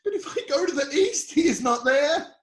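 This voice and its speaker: A man playing an anguished, devout sufferer, bitter yet defiant, in an impassioned, halting dramatic scripture reading. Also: extremely high pitch, expressive. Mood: searching despair. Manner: impassioned, halting delivery swinging from cries to hushed lines. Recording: echoey room.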